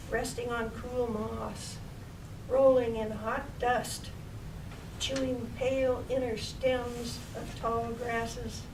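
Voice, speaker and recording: A woman recites her own poetry in an unhurried way, her voice a little high. She is heard at some distance in a very echoey room at a live reading. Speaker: poet reading own work. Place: public library reading room. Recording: echoey room, distant mic, clear noise.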